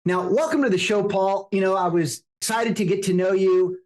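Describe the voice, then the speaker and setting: A man's voice: high voice, steady tone, normal speed, a little mellow, reverberant. A man hosting a podcast, reading a scripted introduction and then asking interview questions with emphatic delivery. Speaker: podcast host.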